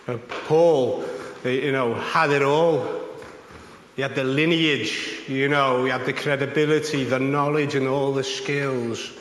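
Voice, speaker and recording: A man preaching an informal sermon in a rambling, conversational way, his voice a little croaky. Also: medium pitch, echoey room, big-room sound, slight background noise, low-quality recording. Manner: conversational, rambling with many fillers. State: slightly croaky voice.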